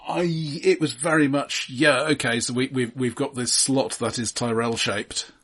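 A man co-hosting a film podcast, talking casually in a halting, dryly analytical way as he thinks aloud. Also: medium pitch, fairly even intonation, a bit bright.

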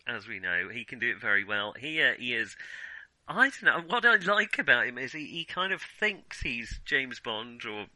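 A man casually discussing films as a podcast co-host, talking in a halting, thinking-aloud way with an amused, chuckling edge. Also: medium pitch, big pitch swings, pushed and bright, no room sound, low-quality recording.

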